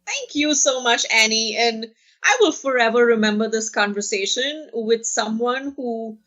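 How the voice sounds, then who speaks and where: A woman speaking as a podcast guest in a casual interview, earnest and quick to laugh. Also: mid-range voice, highs cut off.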